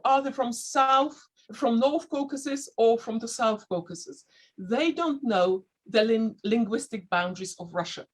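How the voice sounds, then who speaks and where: A woman who learned English as a second language, speaking with a slight Dutch-sounding accent as a panelist in an online discussion, pausing and restarting as she looks for words. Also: on the high side, husky. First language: not English. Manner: thoughtful, searching for words with frequent restarts.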